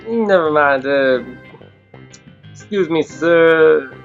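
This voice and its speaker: A man's voice: on the high side, very slow, creaky, highs cut off, faint music behind, heavily acted. A man playing a dim, friendly lizard man in a comic audio drama, hesitant and earnest. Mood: naive, puzzled. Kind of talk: audio drama comedy acting.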